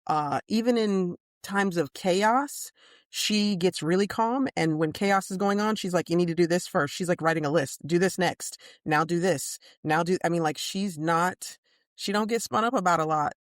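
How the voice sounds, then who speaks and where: A woman co-hosting a casual podcast, speaking in a measured, calm way with sudden bursts of big laughter. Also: lowish voice, dry recording, clean studio sound. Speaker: podcast co-host. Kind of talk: casual chat.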